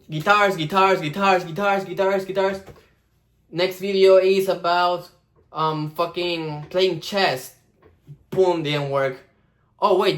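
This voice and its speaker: A young man giving casual, animated advice on starting a vlog channel, swearing freely and acting out the algorithm in silly voices. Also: on the high side. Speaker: vlogger.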